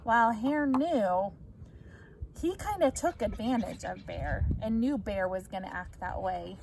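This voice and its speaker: A woman's voice: medium pitch, measured pace, a little mellow, a little hiss or hum. A woman teacher reading a story aloud to children, explaining as she goes and prompting them with questions.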